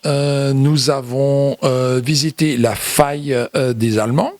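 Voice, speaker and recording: A man from Europe giving unscripted interview answers as a guest, haltingly, often repeating words and phrases. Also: mid-range voice, fairly even intonation, a little mellow, no echo. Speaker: interview guest, visitor to Burundi.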